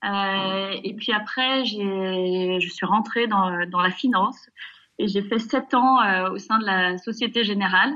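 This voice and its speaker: A woman in her forties giving conversational interview answers over an internet call, pausing often mid-phrase. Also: medium pitch, sharp tone, lo-fi sound. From France, raised in Nigeria. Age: 40s. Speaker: interview guest, family business executive. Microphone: video call.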